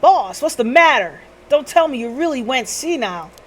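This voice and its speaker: A woman voicing an excitable, cheeky subordinate detective in a visual novel, exclamatory and animated as they fret over their boss. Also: high voice, moderate pace, a little hiss or hum, pitched above the performer's own voice. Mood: exasperated, worried.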